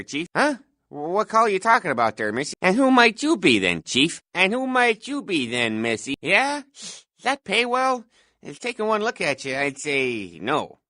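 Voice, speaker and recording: An actor playing a self-important, bumbling, folksy night-shift security guard in a video game, with officious, hesitant patter. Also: male voice, a little high, sharp tone, dry recording, clean studio sound. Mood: casually polite, then condescending, chummy. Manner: pompous, officious, hesitant filler-laden patter. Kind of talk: scripted video game voice lines.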